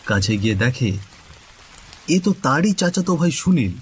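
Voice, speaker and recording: A man's voice: mid-range voice, very animated, moderate pace. A man narrating a scripted cartoon story as its storyteller. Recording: faint background noise.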